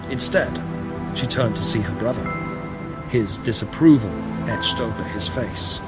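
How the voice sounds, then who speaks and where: A man narrates a sci-fi audio drama in a fairly deep voice. The reading is scripted and dramatic, with loud music running underneath. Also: measured pace. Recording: prominent music bed under the voice.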